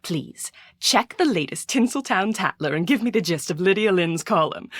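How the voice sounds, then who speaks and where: Casting: vain, wealthy game villainess, condescending and imperious, highly animated pitch, dry close sound. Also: female voice, no room sound. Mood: imperious, languid. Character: vain, haughty rich woman doting on her pet, secretly villainous. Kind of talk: video game character dialogue.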